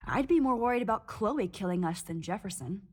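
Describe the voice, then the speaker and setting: An actress playing an earnest, defensive teenage heroine in a video game, with a husky, pleading voice. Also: female voice, medium pitch, animated, a little fast, darkish tone, close to an everyday voice. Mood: defensive, upset. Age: young adult.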